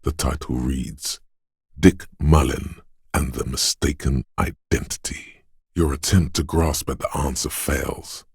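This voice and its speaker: A man voicing a detective's quick-witted inner voice in a video game, narrating in a measured, deliberate way with short, wry, alert asides. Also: extremely low pitch, steady tone, a little mellow, slightly rough, no echo, clean studio sound, exaggerated voice. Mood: measured, ominous. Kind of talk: scripted game narration, second-person inner voice.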